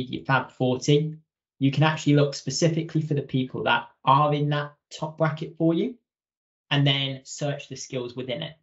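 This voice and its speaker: A young woman giving an informal software tutorial as a webinar trainer. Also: a bass voice. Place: indoors on a video call. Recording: dull video call audio.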